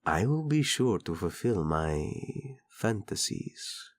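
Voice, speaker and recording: A man's voice: sits low, big pitch swings, slow pace, heavily acted. A man playing a flirtatious, doting prince and husband in a romantic audio roleplay, speaking intimately and expressively. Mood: teasing, flirtatious.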